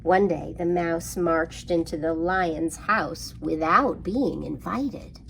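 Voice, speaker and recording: A woman's voice: lowish voice, slow, gravelly, a little hiss or hum, low-quality recording. A woman hosting an interactive storytime for young children, speaking in a sing-song way and putting questions to her listeners.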